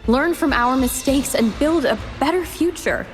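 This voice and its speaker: A resolute, humane woman leader from a video game. Her voice sits a little high and she speaks in earnest, measured speeches. Faint music plays behind her. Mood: emphatic. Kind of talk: scripted game voice acting.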